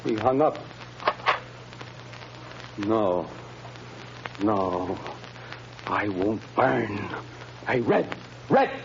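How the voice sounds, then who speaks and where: A jittery small-time gangster. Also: male voice, fairly low pitch, lively intonation, very slow, creaky, long pauses, full character voice. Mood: nervous. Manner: tough-guy slang, jumpy and nervous. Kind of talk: 1930s radio drama acting. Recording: hissy, muffled 1930s radio transcription.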